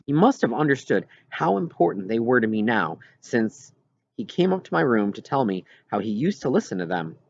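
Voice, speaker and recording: A man reading a children's novel aloud as scripted narration, with expressive storytelling delivery. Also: mid-range voice, normal speed, vocal fry, no room sound, lo-fi sound. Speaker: audiobook reader.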